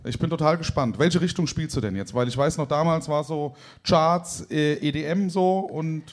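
A man's voice: on the high side, normal speed. A man hosting a DJ show, talking casually with guests in speech and tagging sentences with "ne?". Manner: chatty, keeps adding "ne?" tags. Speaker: host of a DJ stream show. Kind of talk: casual interview chat.

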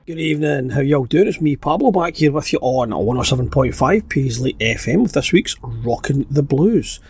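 A man's voice: medium pitch, animated, moderate pace, a little mellow, slightly rough, dry recording, faint music behind. A man hosting a blues radio show in a chatty, easygoing way, his voice congested from a cold, speaking into a studio microphone. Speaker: radio DJ host. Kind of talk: casual radio presenting.

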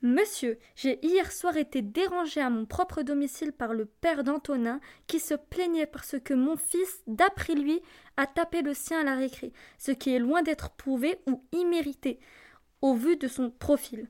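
Young woman playing a haughty fussy mum, formal letter read aloud, high voice. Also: measured pace, a little mellow. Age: teenager or young adult student. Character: fussy, formal, haughty mother writing to teacher. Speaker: amateur student actor. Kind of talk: theatrical reading of parents' letters.

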